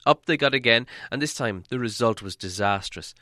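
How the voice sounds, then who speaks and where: A man narrating a storybook from a script in a dramatic, expressive storytelling manner. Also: mid-range voice, moderate pace, no room sound, clean studio sound. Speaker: audiobook narrator.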